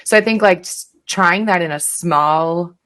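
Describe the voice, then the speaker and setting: An American-accented woman speaks in a distinctly low register. She is a podcast guest offering advice in casual conversation. Her phrasing is informal and hedged, full of "like" and "you know". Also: a little slow, fairly bright tone.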